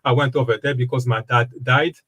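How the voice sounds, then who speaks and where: A man in his fifties from the Democratic Republic of Congo, a wellness coach speaking as an interview guest, talking in a halting way with many fillers and restarts. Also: medium pitch, steady tone, a bit bright. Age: 50s. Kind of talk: casual interview conversation.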